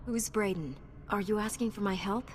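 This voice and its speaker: A woman portrays a steadfast, compassionate game knight. Her mid-pitched voice is formal and measured, with long reverb and noise around it. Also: close to an everyday voice. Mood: composed, resolute. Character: noble, composed female Jedi knight, steadfast and compassionate. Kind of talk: video game voice acting.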